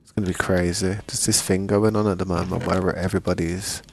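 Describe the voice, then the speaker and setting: A man's voice: sits low, level tone, brisk, creaky, slight background noise. A man streaming a game, rambling in a laid-back way and often saying "man", with a lingering cough. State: still has a lingering cough. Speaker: gaming streamer. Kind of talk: casual gameplay chat.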